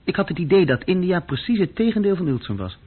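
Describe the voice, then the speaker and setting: Restless young clerk, thin phone-like sound. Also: male voice, high voice, very animated, fast, husky, full character voice. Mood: reflective, restless. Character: young male office clerk, restless, self-reproaching, recounting his escapade. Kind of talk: radio play dialogue and recollection. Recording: thin, phone-like old radio recording.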